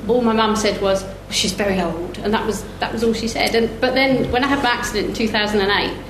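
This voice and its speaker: A woman's voice: mid-range voice, steady tone, fast. A middle-aged woman telling personal stories on a live panel, candid and wry. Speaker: panellist, team sports athlete. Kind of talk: personal storytelling at a panel talk. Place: live festival panel in a roomy venue. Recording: echoey room with clear background noise.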